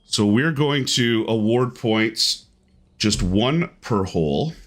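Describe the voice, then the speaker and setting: A man's voice: on the low side, animated, slow pace. A man hosting and commentating a live online golf game tournament in upbeat play-by-play.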